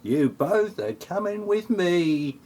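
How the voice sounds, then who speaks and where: A man's voice: high-pitched, slow pace, a little mellow. A man playing a menacing bandito ex-husband in a spoof audio play, drawing out his threats. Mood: threatening.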